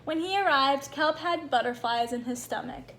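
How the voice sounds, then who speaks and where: Female storybook reader, high-pitched, expressive delivery for young children, faint background noise. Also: normal speed. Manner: animated, acts out small moments. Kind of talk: expressive children's read-aloud.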